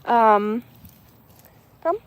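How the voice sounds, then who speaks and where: A woman from Germany with a German accent, chatting casually and softly outdoors, with many ums. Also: fairly high pitch, animated, extremely slow, clear, resonant tone, muffled recording. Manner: soft-spoken, chatty, many ums, laughs lightly. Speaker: vlogger. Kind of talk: casual live chat outdoors. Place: outdoors in the evening, nature sounds around.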